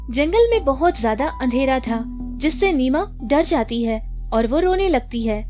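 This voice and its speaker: A woman's voice: high voice, darkish tone. A woman narrator reading a scripted children's story. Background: music.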